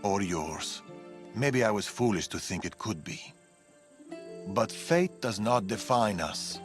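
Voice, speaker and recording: A man's voice: lowish voice, animated, takes it slowly, faint music behind. A man playing an older, reflective and doting warrior grandfather in a video game cutscene. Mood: solemn.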